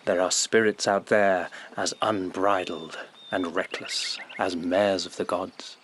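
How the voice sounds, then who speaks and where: A man's voice: deep voice, fairly even intonation, slow, fairly bright tone, slight background noise. A man acting a young, provocative palace lord in a radio drama, musing and insinuating in formal phrases. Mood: enticing, rapturous. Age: young adult. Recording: faint outdoor ambience.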